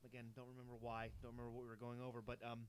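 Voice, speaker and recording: A man's voice: medium pitch, completely flat delivery, rapid-fire, smooth. A man of about forty, co-hosting a podcast, who rambles in a casual chat with frequent restarts and laughs between thoughts. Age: 40s. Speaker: podcast co-host.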